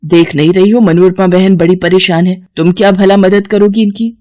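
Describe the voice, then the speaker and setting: A woman's voice: on the low side, fairly even intonation, normal speed, a little mellow, no echo, lo-fi sound, lightly acted. A woman voicing a stern, critical middle-aged mother-in-law in a family story. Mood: scolding.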